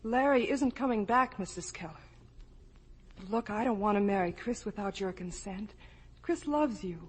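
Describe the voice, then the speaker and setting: A woman's voice: mid-range voice, animated, moderate pace, slightly rough. A woman acting in a 1950 radio drama as a determined young woman, polite, earnest and pleading. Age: young adult. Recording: echoey old radio recording.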